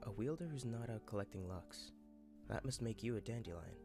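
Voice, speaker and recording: A man's voice: fairly low pitch, expressive, a little fast, vocal fry. A man playing a cool, fatalistic and enigmatic master in a fan-dubbed game cutscene. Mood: cool, challenging. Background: music under the dialogue.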